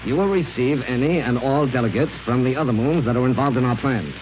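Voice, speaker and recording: An actor playing a scheming male space villain posing as a heroic captain, commanding and measured in 1930s radio serial style. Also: mid-range voice, close to an everyday voice. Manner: commanding, measured, smoothly deceptive. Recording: noisy, dull 1930s radio recording.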